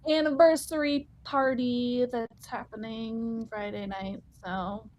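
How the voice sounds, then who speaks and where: A woman's voice: fairly high pitch, fairly even intonation, takes it slowly, darkish tone, no echo, rough recording. A woman playing a tabletop game, describing her character and chatting in a playful way. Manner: playful, laughs while talking. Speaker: player. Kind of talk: casual chat and in-character description.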